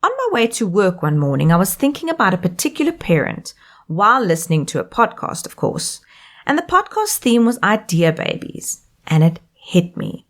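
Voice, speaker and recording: A South African woman in her thirties presents a scripted solo podcast as its host and life coach. Her delivery is upbeat, and her pitch rises and falls a lot at a middling level. She reads from a script with plenty of expression. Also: sing-song, moderate pace.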